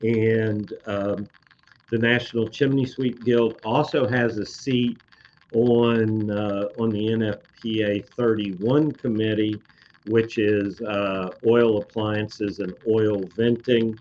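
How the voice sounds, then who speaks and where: A man talking slowly and haltingly, with many fillers, answering viewer comments on a live webcast, his voice slightly hoarse. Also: lowish voice, fairly even intonation, darkish tone, lo-fi sound, a little hiss or hum. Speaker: code expert on a live industry webcast.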